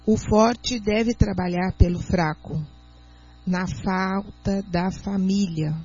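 A Brazilian woman speaking as a doctor and guest on a radio talk show, explaining in an earnest, teacherly way and sometimes reading aloud slowly. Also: mid-range voice, fairly even intonation, a little mellow, slightly rough. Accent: Brazilian Portuguese. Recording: muffled lo-fi radio audio.

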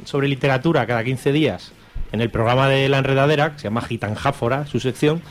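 A man's voice: mid-range voice, quick pace. A man with an Aragonese Spanish accent chatting casually as a podcaster, irreverent, slangy and foul-mouthed. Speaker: podcaster and radio show regular. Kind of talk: casual chat.

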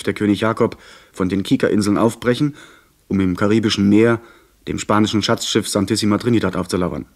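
Earnest young master telling a sea adventure played by a man, fairly low voice, measured literary radio-play narration. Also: natural voice.

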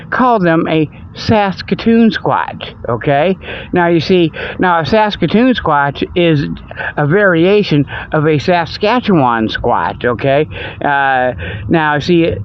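A man hosting a comic radio-style show, rambling in a folksy, ungrammatical way through mock sponsor reads and casual monologue. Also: a little high. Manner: folksy, rambling, ungrammatical, peppered with "okay" and "you know". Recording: dull lo-fi audio with background noise.

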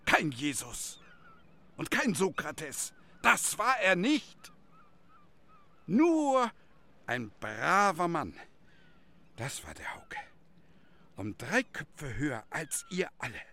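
A wistful, stubborn old village teacher in a radio drama. His voice is husky and unhurried, swinging widely from emphatic outbursts to hushed asides. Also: a little high, sing-song, exaggerated voice. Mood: indignant, emphatic. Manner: emphatic, broken phrases, sudden hushes. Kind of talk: radio drama acting, storytelling.

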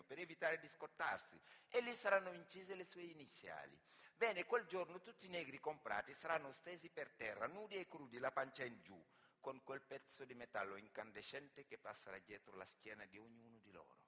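A man from Senegal, an actor and griot, delivering a dramatic, deliberate stage monologue with weighty pauses. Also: medium pitch, sharp tone. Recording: echoey hall with long reverb.

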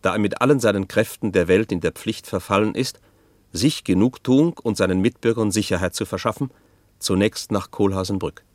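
An actor playing a solemn chronicler, giving formal literary narration in a radio drama. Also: male voice, fairly low pitch, a little fast, no echo, close to an everyday voice. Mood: measured.

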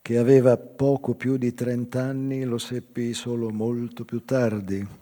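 An actor playing a melancholy old whaler in a measured, reflective theatrical monologue about his life. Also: medium pitch, flat delivery, mellow, natural voice. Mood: tender nostalgia. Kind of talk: literary dramatic monologue. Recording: reverberant stage hall, guitar music behind. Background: live guitar music.

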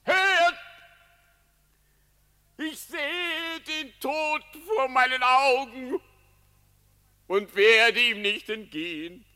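A radio-play fox, cunning and condemned. He declaims his pious confession in a high, forceful voice, drawing it out slowly, with long hall reverb trailing behind. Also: smooth, full character voice. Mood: solemn pleading. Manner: declamatory, solemn, drawn-out public confession. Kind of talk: classic German radio play acting in verse.

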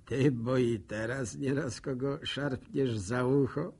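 An actor playing an old village war veteran in a radio drama, musing in a folksy manner with a gravelly, husky voice. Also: male voice, mid-range voice, steady tone, slow pace, a little mellow, exaggerated voice. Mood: musing, fond. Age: older. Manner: musing, folksy, chuckling storyteller. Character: old village man, war veteran, reminiscing to his dog.

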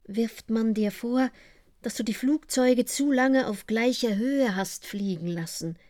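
An actress as a high-voiced, stern female chronicler. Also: a little slow, a little mellow, dry recording, studio quality, lightly acted. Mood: stern, factual. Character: stern female chronicler reading out accident report and accusations. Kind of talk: scripted documentary radio drama narration.

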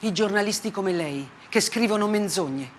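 A man's voice: high-pitched, moderate pace, a little hiss or hum, lightly acted. A woman performer voicing a hard, self-righteous male army colonel, blunt and accusatory, in a dramatic stage monologue. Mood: defiant, accusing. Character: hard, defiant Russian army colonel in Chechnya. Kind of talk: dramatic monologue radio theatre.

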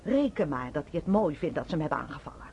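A woman acting an anguished, bitter middle-aged mother in a radio drama, with a husky voice and emotional delivery. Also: sits low, very animated, quick pace, darkish tone, heavily acted. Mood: bitter, mocking. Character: bitter, anguished working-class mother of soldier son. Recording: dull old radio recording.

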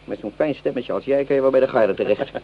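An actor playing a cynical, hot-tempered French common soldier in a Dutch radio play, coarse and sarcastic in manner. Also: male voice, mid-range voice, quick pace, natural voice. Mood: rough, jovial. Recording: dull, noisy old radio play recording.

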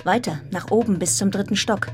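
Radio drama lead for an actress: wounded, resolute young governess, medium register, intense yet restrained narration, musical backing. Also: female voice, medium pitch, fairly quick, music in the background, close to an everyday voice. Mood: tense, restrained. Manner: restrained, intense, breaking into hushed fragments. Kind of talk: German radio play narration and acting.

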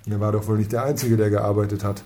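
Male police chief, played by an actor, radio crime drama: mellow but curt and commanding, roomy acoustic with faint noise. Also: on the low side, level tone, fast, close to an everyday voice. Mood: official, probing. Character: brusque, senior police chief pressing a young officer.

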